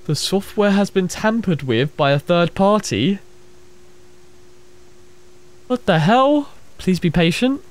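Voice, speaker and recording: A young man plays a horror game, reading its text aloud and reacting with quick exclamations and asides to viewers. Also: high-pitched, lively intonation, slight background noise. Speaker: gaming streamer. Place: small room at a desk. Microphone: headset. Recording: game music playing under the voice.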